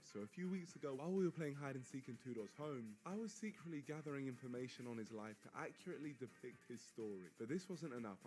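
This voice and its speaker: A young man hosting a prank video, telling the story in a brisk, playful, conspiratorial way. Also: medium pitch, darkish tone. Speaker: prank video host narrating. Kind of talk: scripted vlog narration.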